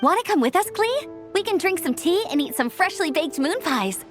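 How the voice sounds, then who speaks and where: An eager, friendly teen girl from a game. Her voice is very high and animated, with clear music behind it. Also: normal speed. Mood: cheerful. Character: perky, friendly teenage girl scout. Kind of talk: video game voice acting.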